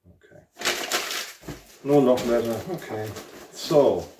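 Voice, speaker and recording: A man's voice: mid-range voice, slow pace, distant mic, background noise. A man in his forties from Germany with a German accent, hosting a casual guitar unboxing vlog at home and searching for his words with many ums. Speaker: guitar channel host. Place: living room at home, indoors. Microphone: camera mic at a distance. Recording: echoey room, speaker away from mic.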